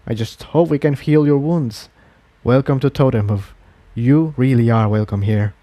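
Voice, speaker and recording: A man's voice: mid-range voice, moderate pace, warm tone, close to an everyday voice. A man voicing a devout, courteous pegasus townsman who explains things earnestly and formally in a fantasy audiobook. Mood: soft, sympathetic. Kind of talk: acted dialogue in fan fiction audiobook.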